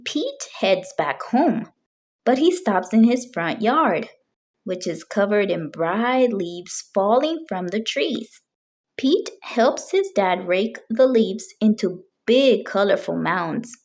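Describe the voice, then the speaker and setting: A woman reading a picture book aloud for young children, with deliberate, expressive phrasing. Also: mid-range voice. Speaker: children's storybook reader.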